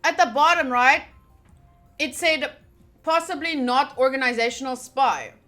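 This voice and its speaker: Bright, high-voiced woman streaming a game. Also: unhurried, faint music behind. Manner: playful, expressive, swears casually. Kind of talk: visual novel playthrough, reading text aloud with casual reactions.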